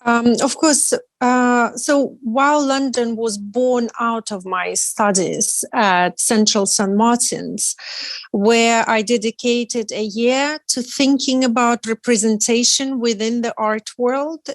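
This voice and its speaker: Guest artist, woman, forties, slow and careful delivery, dry close mic. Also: a little high, no room sound. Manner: measured, careful, many restarts. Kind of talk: interview answers.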